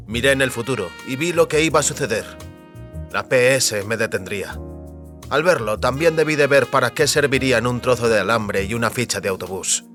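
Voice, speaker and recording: A man's voice: mid-range voice, a bit bright, lightly acted. An actor as a wary, determined man, music behind. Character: wary, determined man trapped between government and big business. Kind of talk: audio drama acting.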